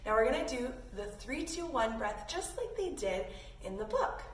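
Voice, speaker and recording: High-voiced woman teaching kids yoga, echoey room. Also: sing-song, moderate pace. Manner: encouraging, instructing listeners directly, addressing them as friends. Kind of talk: guided kids yoga lesson, playful. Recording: roomy echo, distant mic, faint noise.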